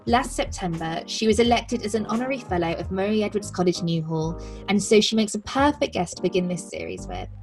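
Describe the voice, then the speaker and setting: A woman's voice: mid-range voice, big pitch swings, a little fast, faint music behind. A young woman hosting a podcast, presenting scripted introductions and putting questions to a guest. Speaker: podcast host, interviewer.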